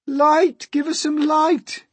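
A man voicing excitable insects who shout out in a children's storybook reading. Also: extremely high pitch, slow pace, no room sound, pitched above the performer's own voice. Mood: urgent.

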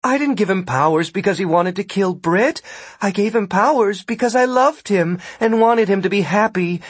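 A man's voice: high-pitched, normal speed, a bit bright, breathy edge, no echo, pitched above the performer's own voice. A man voicing a proud, unrepentant, defensive woman villain in an audiobook. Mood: indignant. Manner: defensive, haughty.

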